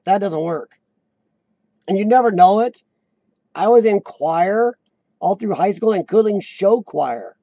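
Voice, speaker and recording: Squeaky, gravelly-voiced man in his forties. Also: lively intonation, mellow, no echo, dull audio. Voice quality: high-pitched squeaky voice. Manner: clears throat often, halting restarts. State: voice worn from lung illness and coughing. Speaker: host of a live chat show. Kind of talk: casual live-stream chat reading viewer comments.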